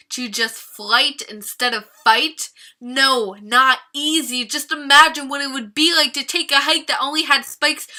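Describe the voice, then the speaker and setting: A woman's voice: high voice, pushed and bright. A woman reciting a slam poem in a dramatic, rhythmic, rhyming delivery. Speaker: slam poet.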